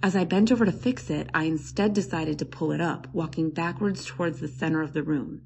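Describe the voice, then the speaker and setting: A woman's voice: low voice, fairly quick, gravelly, a little hiss or hum. A woman storyteller giving a scripted first-person narration of a scary story.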